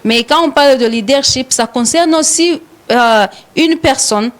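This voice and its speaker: A Rwandan woman with a Rwandan French accent, hosting a live studio talk show and pausing often on fillers and repeated words. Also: high voice. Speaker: radio show host.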